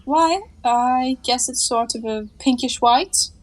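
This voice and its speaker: Casting: woman to play playful, shameless 1920s young lady for stage comedy; high voice, slow coy delivery. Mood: coy, amused. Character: breezy, playful, unabashed flapper-era young woman in her bath. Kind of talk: 1920s one-act stage comedy acting.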